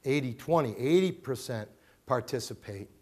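A man's voice: medium pitch, expressive, moderate pace, a little mellow. Middle-aged pastor chatting to his congregation. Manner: conversational, many fillers and restarts, emphatic repetitions. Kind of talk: informal sermon, family talk to congregation. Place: small church sanctuary. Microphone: handheld mic, far camera.

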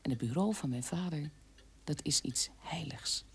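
An actress playing a bitter adult daughter of a war criminal, recounting her childhood in an emphatic, halting radio play monologue. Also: low voice, vocal fry, slight background noise. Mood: insistent, bitter.

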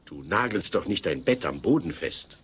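An actor playing a pedantic, long-suffering family father, formal and measured, in a German sitcom audio play. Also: sits low, animated, husky, exaggerated voice. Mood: weary, exasperated. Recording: faint hiss, old cassette audio.

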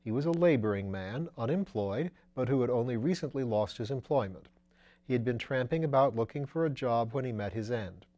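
A sober, methodical detective inspector from a mystery storybook, read by a man. He reasons step by step in a deliberate, measured manner at a middling pitch. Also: warm tone, natural voice.

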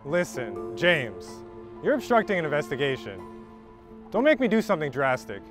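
A bullying impostor cop in a short film. His middle-aged voice sits high and swings sharply as he barks clipped, menacing orders. Faint music runs under the dialogue. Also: wildly swooping pitch, moderate pace. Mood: threatening. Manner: clipped, commanding. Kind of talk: scripted short-film acting. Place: outdoors on street and station platform.

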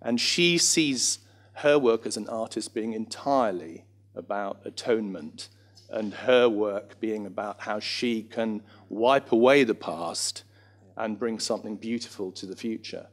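Male, middle-aged. Lowish voice, reflective and discursive, informal panel delivery with a self-deprecating streak. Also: a little slow. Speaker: panellist, reminiscence arts practitioner and former actor. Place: small theatre stage in front of an audience. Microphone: handheld microphone.

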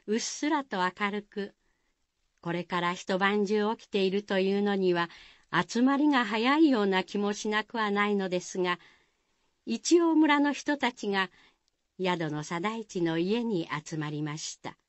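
A woman's voice: mid-range voice, animated, unhurried, no echo, close to an everyday voice. A woman narrating a Japanese village folktale in a scripted radio drama style. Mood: measured.

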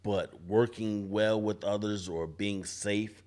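A man's voice: lowish voice, fairly even intonation, slow pace, a little mellow. A man in his forties, a barber talking on a podcast with a studio mic in a barbershop space, thoughtful and often restarting his sentences. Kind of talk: casual panel discussion. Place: barbershop event space, indoors. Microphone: podcast mic on boom arm.

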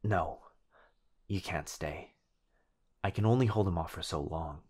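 A man's voice: sits low, unhurried, a little mellow, breathy edge. A man playing an anxious, protective young boyfriend in an ASMR roleplay, earnest and urgent. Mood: urgent, worried.

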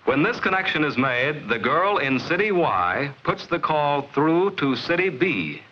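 1950s film narrator, dull vintage soundtrack. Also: male voice, on the high side, sing-song, slight background noise. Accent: American. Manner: measured, explanatory, clearly enunciated.

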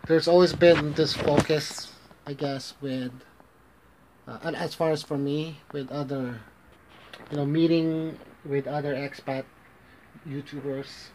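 A man's voice: a little high, steady tone, measured pace, long pauses, darkish tone, slight background noise, low-quality recording. A man in his thirties with an American accent, talking casually into a phone camera in a small bedroom, rambling and restarting, mixing in Tagalog phrases. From Philippines, raised in the United States. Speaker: vlogger. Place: bedroom, small indoor room. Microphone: phone camera at arm's length.

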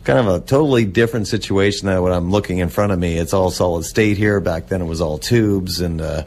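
Low-voiced man reminiscing casually. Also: a little fast, darkish tone. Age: 40s. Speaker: interview guest, old-time radio collector.

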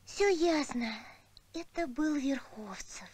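A woman voicing a fearless, curious little girl in an animated film. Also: sits high, slow pace. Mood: knowing.

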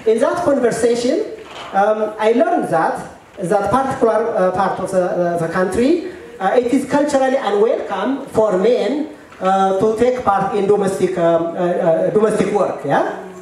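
A middle-aged Ethiopian man telling a personal story to an audience on a handheld mic, halting, with many fillers and repeated words. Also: high-pitched, a little mellow. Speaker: storyteller at a work meeting. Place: large tent at an event. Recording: echoey tent, mic at a distance.

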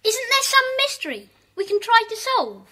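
A woman's voice: extremely high pitch, very animated, moderate pace, bright, forceful tone, heavily acted. A woman playing an eager, questioning schoolchild in a children's audio drama. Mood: inquisitive.

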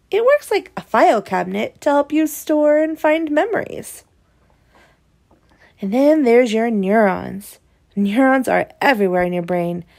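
A woman's voice: on the high side, gravelly, a little mellow. A woman reading a children's picture book aloud, explaining it expressively to young listeners. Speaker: read-aloud presenter.

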